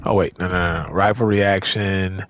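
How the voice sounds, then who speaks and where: A man's voice: low-pitched, steady tone, moderate pace, fairly bright tone, no room sound. A man giving casual, off-the-cuff commentary on a video game, emphatic and repeating words for stress. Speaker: gaming video creator.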